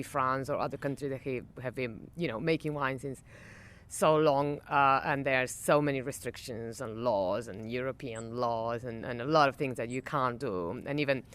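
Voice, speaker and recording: A middle-aged woman from Argentina whose first language is Spanish, answering interview questions in English with an Argentine accent, pausing often with fillers and restarts. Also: low voice, smooth. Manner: halting, with many fillers and restarts. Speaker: wine estate general manager. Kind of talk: conversational interview. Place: outdoor garden.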